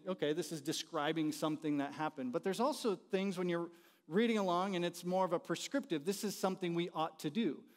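A middle-aged American man giving an informal, conversational teaching talk to an audience, often putting questions to them. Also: fairly high pitch. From born in the United States, raised partly in Haiti. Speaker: university dean and teacher, conference speaker. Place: large church auditorium, speaking from the front by the stage. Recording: reverberant hall sound.

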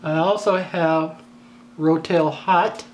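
Chatty woman with a slightly raspy voice. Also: low voice, fairly even intonation, slow, slight background noise, poor audio. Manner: chatty, down-to-earth, joking asides. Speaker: cooking channel host. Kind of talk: casual cooking tutorial voice-over. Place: home kitchen.

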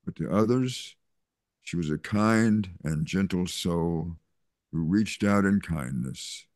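A man reading a gentle older small-town pastor. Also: deep voice, expressive, slow, dry recording, studio quality. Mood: solemn. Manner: gentle, patient, mildly scolding. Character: kindly small-town male pastor at a funeral. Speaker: Reverend Matthew. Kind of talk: zoom radio-play reading. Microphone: video call.

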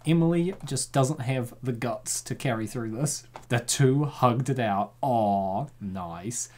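A man's voice: mid-range voice, slow pace. A man narrating a comic dub, breaking off often to joke and laugh at the story. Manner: joking, laughs often, animated. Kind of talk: narration with joking commentary.

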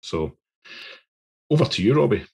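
A man's voice: medium pitch, sing-song, moderate pace, creaky, darkish tone, husky. A man co-hosting an investor podcast, chatty and stumbling over repeated words. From Scotland. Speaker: podcast co-host. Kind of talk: casual podcast chat.

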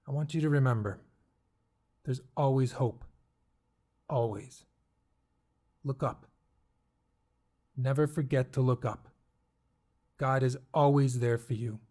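A man's voice: medium pitch, takes it slowly, long pauses, a little mellow. An actor playing a friendly, reassuring evangelical pastor in his fifties in an audio drama. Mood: gentle, consoling. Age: 50s. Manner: reassuring, familiar. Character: friendly, encouraging middle-aged evangelical pastor.

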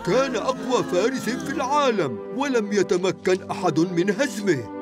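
A man voicing a kindly, excitable older mayor in a dubbed children's show, speaking with dramatic expression. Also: high voice, normal speed, music in the background. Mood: dramatic storytelling.

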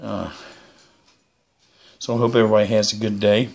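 Low-pitched man, rambling casual vlogger. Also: flat delivery, normal speed, creaky. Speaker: YouTuber presenting his radio antennas. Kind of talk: casual unscripted product show-and-tell.

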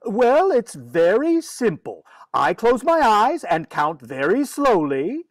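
A woman's voice: mid-range voice, big pitch swings, slow pace, no room sound. A woman playing a firm, wry, long-suffering father in a 1940s radio comedy. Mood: exasperated, coaxing. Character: exasperated, firm father of a mischievous girl.

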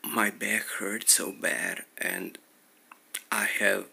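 A man giving a slow, halting personal update to his viewers, speaking in pain and close to tears, often dropping to a near whisper. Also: low voice, steady tone, vocal fry, pushed and bright, breathy edge. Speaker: vlogger.

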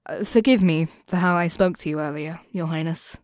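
A woman's voice: low-pitched, normal speed, dry recording, lightly acted. Woman acting a cocky thief, close dry sound. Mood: apologetic, softened. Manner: expressive, sighing, sardonic. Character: cocky young female commoner thief, defiant, resentful. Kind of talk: audio roleplay acting, one-sided dialogue addressed to the listener.

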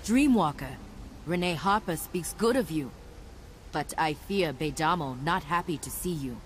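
Slow-spoken alien tribeswoman, lowish voice. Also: lively intonation, a little hiss or hum. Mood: solemn. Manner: measured, broken English. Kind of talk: video game cutscene acting.

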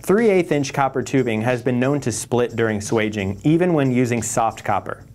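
A man's voice: mid-range voice, lively intonation, normal speed, darkish tone. A man presenting for a tool company, reading scripted step-by-step instructional narration. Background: music behind the voice.

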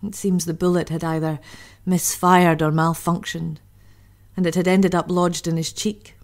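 An actress playing a sorrowful, dutiful army nurse from Glasgow, telling a sombre, reflective audio drama monologue. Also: female voice, lowish voice, little pitch movement, normal speed, darkish tone, natural voice. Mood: sombre recollection. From Scotland.

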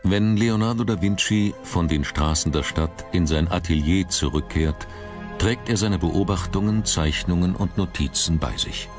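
A man's voice: deep voice, moderate pace. A man reading scripted documentary narration as the narrator. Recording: music under the voice. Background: background music.

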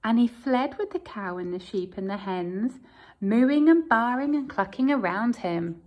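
A woman teacher reading a picture book aloud to her pupils in an empty classroom, with expressive storytelling. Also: fairly high pitch, measured pace, lo-fi sound.

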